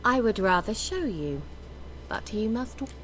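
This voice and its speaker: A woman's voice: medium pitch, big pitch swings, measured pace, fairly bright tone, a little hiss or hum. A woman playing a haughty, flirtatious sorceress in a fantasy game, with a theatrical, teasing, imperious manner.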